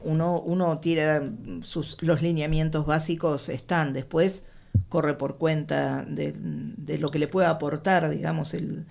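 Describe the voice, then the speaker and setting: A woman's voice: low voice, level tone, measured pace, creaky, a little mellow. A woman with an Argentine accent talking casually as a guest writer in a panel conversation. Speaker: radio drama writer, interview guest.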